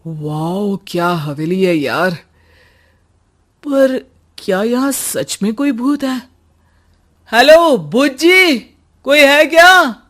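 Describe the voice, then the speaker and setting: A woman's voice: on the high side, lively intonation, takes it slowly, pauses often, fairly bright tone, voice pushed up, full character voice. A woman voicing a curious, stubborn young village boy in a cartoon story, full of exclamations and questions. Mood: curious, surprised.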